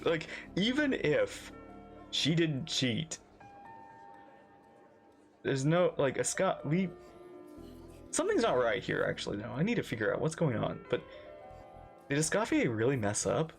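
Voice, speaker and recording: A game streamer giving casual, playful reaction commentary, halting and repeating phrases. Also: medium pitch, very animated, creaky. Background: faint game music.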